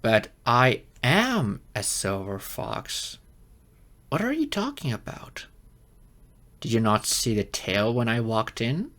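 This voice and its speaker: A man's voice: medium pitch, very animated, takes it slowly, a bit bright, heavily acted. A man voicing a geeky young silver fox kitsune in an ASMR roleplay, flustered, hesitant and earnest, with slightly formal wording. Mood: gently proud. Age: young adult.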